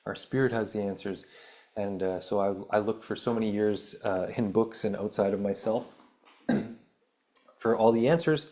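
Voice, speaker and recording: A man hosts his own healing podcast, his voice sitting fairly low. His voice has gone raspy from reading aloud. He stumbles over words as he reads, then drifts into loose, rambling chat padded with ums and uhs. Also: normal speed, darkish tone. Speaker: podcast host. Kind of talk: reading an article aloud with casual asides.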